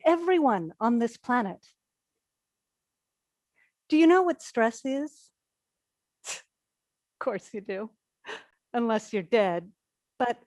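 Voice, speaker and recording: An actress playing an obsessive, self-important scientist in a staged reading, delivering a theatrical, boastful, deliberate monologue. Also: female voice, fairly high pitch, no room sound, studio quality. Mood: boastful, dramatic. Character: obsessive, self-important woman stress scientist addressing the audience.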